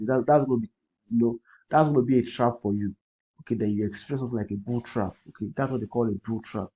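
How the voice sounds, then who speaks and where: A man with a Nigerian accent, a native Yoruba speaker, hosting a casual crypto livestream in a husky voice, repeating phrases and often checking in with "okay". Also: mid-range voice, mellow, poor audio. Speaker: crypto trading livestream host. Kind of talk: casual livestream commentary, answering comments.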